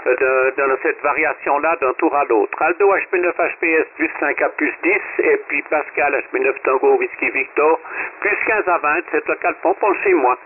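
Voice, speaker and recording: A man, a ham radio operator, reading out signal reports in a brisk, friendly radio chat. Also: fairly high pitch. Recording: tinny shortwave radio with hiss.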